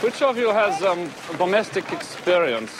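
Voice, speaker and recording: An actor in a film drama playing a self-absorbed man in command who talks haltingly. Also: sits high, sing-song, heavy background noise. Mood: self-satisfied, musing. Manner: halting, hesitant.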